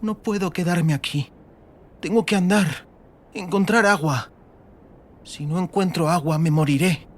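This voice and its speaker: A man voicing an exhausted, determined young girl's inner thoughts in a dramatized audiobook, in a hushed dramatic delivery. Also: high-pitched, measured pace, pauses often. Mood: weak resolve.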